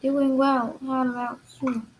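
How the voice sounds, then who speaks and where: Slow, high-voiced learner woman. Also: level tone, poor audio. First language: Vietnamese. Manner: hesitant, brief answers. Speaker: English student. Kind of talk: repeating phrases after a tutor.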